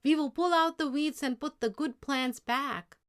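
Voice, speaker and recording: A woman's voice: sits high, measured pace, a bit bright, voice pushed up. Woman as a firm, honest old grandfather. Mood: sad, firm. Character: proud, honest old grandfather, stern but loving. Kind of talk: storybook character dialogue.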